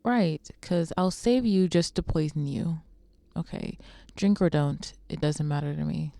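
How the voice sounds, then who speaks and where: Actress as world-weary female vigilante, mellow dark tone, heavy vocal fry, measured and resolute, radio drama. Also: low voice, dry recording. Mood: cool, dismissive. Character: scarred female vigilante villain, cool, proud, world-weary. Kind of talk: superhero radio drama acting.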